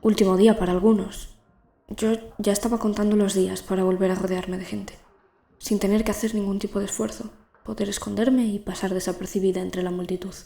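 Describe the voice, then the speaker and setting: A woman's voice: mid-range voice, level tone, brisk, creaky, dark tone, echoey room, natural voice. A woman playing a lonely, fearful teenage girl in an audio drama, with an introspective, confiding manner. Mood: withdrawn, guarded. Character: lonely, fearful teenage girl narrating her secret visions. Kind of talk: first-person audio drama narration and dialogue.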